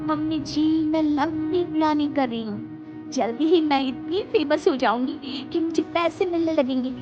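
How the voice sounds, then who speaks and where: A woman acts out a young daughter-in-law from a family storybook, vain and breezy. Her voice is very high and swoops with chirpy boasting. Loud music plays behind the slightly dull audio. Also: sing-song, measured pace, heavily acted. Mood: boastful. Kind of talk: acted children's story dialogue.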